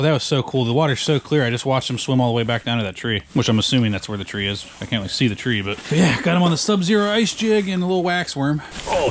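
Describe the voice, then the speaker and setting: A man in his thirties filming his ice-fishing trip in a tent on a frozen lake, talking casually and excitedly with plenty of slang and swearing, recorded on a handheld action camera. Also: mid-range voice. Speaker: fishing vlogger. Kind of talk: casual outdoor vlog. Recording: noisy outdoor camera audio.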